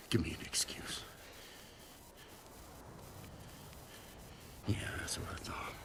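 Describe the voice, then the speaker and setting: An actor playing a bitter, bullying American army sergeant in a war video game, gravelly, menacing and taunting. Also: male voice, sits low, exaggerated voice. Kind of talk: cinematic war game acting.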